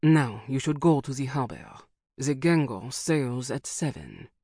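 A narrator voicing a curt French spy in a trench coat, speaking with a heavy French accent in low, slow, clipped phrases. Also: male voice, very animated, a little mellow, no room sound, studio quality. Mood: brusque. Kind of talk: audiobook character voice.

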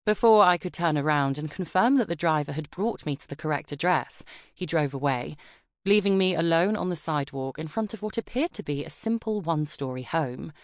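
A woman narrates a first-person novel in a measured, expressive reading voice. Her pitch sits low for a woman, and the recording is close and completely dry, as from a studio booth. The delivery is polished and steady. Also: no echo. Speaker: audiobook narrator. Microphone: close studio mic.

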